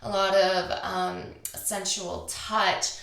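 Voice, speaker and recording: A woman with a slightly raspy voice full of vocal fry, recorded in an echoey room. She hosts a spiritual podcast alone, speaking in a confiding, unscripted way. She leaves thoughtful pauses as she goes. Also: medium pitch, little pitch movement, a bit bright. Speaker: podcast host. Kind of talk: intimate solo spiritual podcast talk. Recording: echoey, roomy sound.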